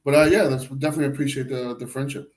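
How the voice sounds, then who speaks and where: A man chatting casually as a podcast guest, relaxed, with stammers and "you know what I mean". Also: medium pitch, racing. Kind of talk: casual chat.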